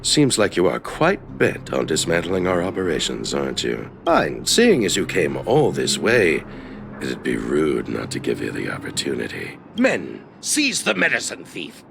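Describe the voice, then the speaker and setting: An actor playing a scheming, self-righteous swindler in a video game, theatrical, indignant and sneering. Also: male voice, a bass voice, wildly swooping pitch, a little slow, vocal fry, noisy background, heavily acted. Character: scheming self-righteous male swindler, president of sham mutual aid society. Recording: loud music behind voice.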